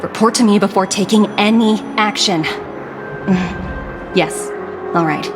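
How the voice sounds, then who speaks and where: A woman voicing a commanding corporate manager who talks in a crisp, authoritative way. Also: medium pitch, slow, natural voice. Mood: weary. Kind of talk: game voice acting. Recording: loud game music behind.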